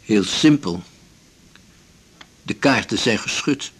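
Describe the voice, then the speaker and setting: Weary, husky old seaman. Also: on the low side, animated, slow, fairly bright tone, heavily acted. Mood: bitter, resigned. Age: 70s or older. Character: elderly widowed retired seaman, weary, blunt, resolute. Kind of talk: 1980s Dutch radio drama acting.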